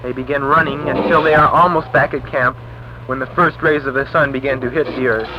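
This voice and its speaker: A man's voice: medium pitch, fairly even intonation, fairly quick, slight background noise. A man narrating a scripted horror story in a stilted, formal read delivery. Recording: dull lo-fi recording under loud music.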